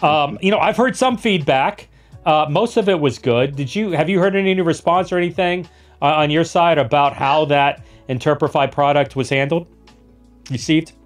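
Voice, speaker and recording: A man's voice: on the high side, normal speed. Male podcast host, chatty and rambling, faint music underneath. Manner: rambling with many restarts and repeats. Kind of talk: casual conversation.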